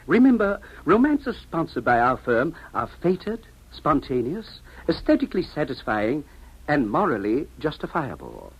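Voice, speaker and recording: A man's voice: fairly high pitch, wildly swooping pitch, unhurried, husky, heavily acted. An actor playing a pompous salesman of romance, with grandiose, precise patter in 1950s radio drama style. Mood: coaxing, confident. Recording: dull, muffled 1950s radio recording.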